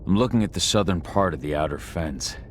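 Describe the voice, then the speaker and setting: An actor playing a gruff, terse and grim werewolf warrior in a video game. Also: male voice, low-pitched, fairly quick, background noise, natural voice. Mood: tense. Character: gruff, vengeful adult male werewolf warrior. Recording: loud music over the voice.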